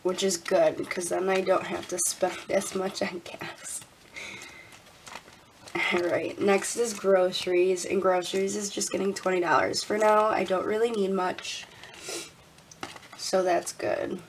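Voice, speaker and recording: A young woman vlogging casually at her desk. She sounds congested and a little raspy, laughs often and counts money out loud. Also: medium pitch, little pitch movement, unhurried, slight background noise, low-quality recording. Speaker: budgeting vlogger. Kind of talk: casual talk while counting cash. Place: indoors at a desk.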